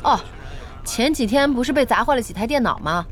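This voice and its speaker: An actress playing a brisk, bossy young female internet-café owner in an audio drama, direct and chiding. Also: on the high side, animated, a little hiss or hum, lightly acted. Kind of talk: Chinese audio drama acting.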